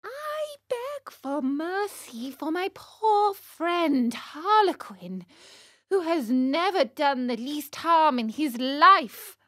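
A woman's voice: sing-song, takes it slowly, fairly bright tone, dry recording, studio quality, exaggerated voice. A woman voicing a small wooden puppet boy in a children's storybook, piercing, plaintive and earnest, with stretched-out words. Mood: pleading. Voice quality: high, piercing.

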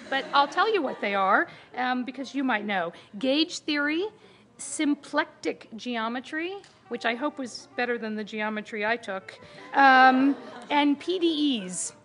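A woman's voice: fairly high pitch, a bit bright. A woman moderating a panel, introducing the speakers with chatty self-deprecating asides.

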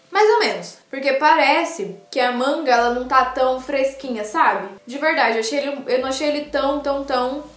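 Young brazilian woman doing a food vlog, high voice, kinda echoey room, gushes a lot. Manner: gushing, animated, addresses viewers directly. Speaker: food vlogger. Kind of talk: casual food tasting vlog. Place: small indoor room by a sofa.

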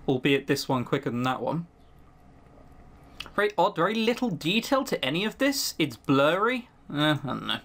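Animated, sardonic man doing game commentary. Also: on the high side. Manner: sardonic, sweary, dryly critical asides. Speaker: video game commentator reading a visual novel aloud. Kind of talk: let's-play commentary mixed with reading aloud.

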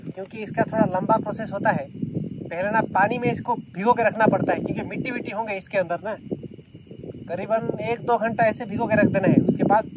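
A man's voice: high-pitched, fairly even intonation, brisk. A man in his thirties talking casually to viewers while camping alone by a lake at night, explaining what he is doing as he works. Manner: chatty, explains as he works, addresses viewers as friends. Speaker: solo camping vlogger. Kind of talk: casual vlog narration to viewers. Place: outdoors by a lakeshore at night, thunderstorm. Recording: outdoor camera audio with wind and insect noise.

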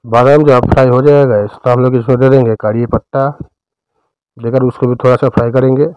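A man with an Indian accent narrating a recipe step by step as a cooking host, in a smooth, clear voice. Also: mid-range voice, little pitch movement, fairly quick, darkish tone, no room sound, rough recording.

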